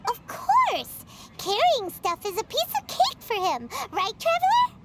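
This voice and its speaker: A tiny floating game sidekick, very high and squeaky, swings wildly in pitch, chatty and eager, over bright game music. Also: female voice, wildly swooping pitch, measured pace, very noisy, full character voice. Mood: excited. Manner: refers to herself in the third person, bouncy and eager. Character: tiny floating female companion, excitable and chatty. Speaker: game character.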